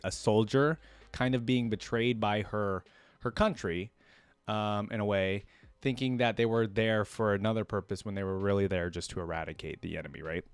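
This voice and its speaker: A man's voice: on the low side, clear, resonant tone, no room sound. Man hosting reactions, dry close sound. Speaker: host of a reaction channel. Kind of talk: casual reaction commentary with read-aloud lore.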